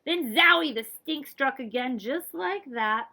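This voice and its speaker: Animated high-voiced woman reading a storybook. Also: a little slow, gravelly. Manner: expressive, dramatic emphasis, drops to whispers. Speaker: librarian reading a picture book aloud to children. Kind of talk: animated storybook read-aloud.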